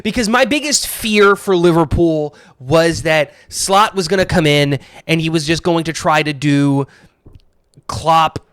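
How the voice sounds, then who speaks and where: A man co-hosting a casual sports podcast, talking in animated, opinionated bursts with plenty of fillers. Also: on the high side, clean tone, no room sound, studio quality. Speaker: podcast co-host.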